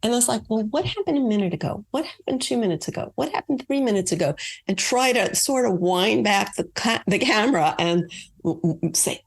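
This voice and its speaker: A woman's voice: mid-range voice, animated, brisk, creaky, slightly rough. A woman from Long Island, a guest author who explains things step by step in casual interview talk, with hesitant fillers. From Long Island, New York.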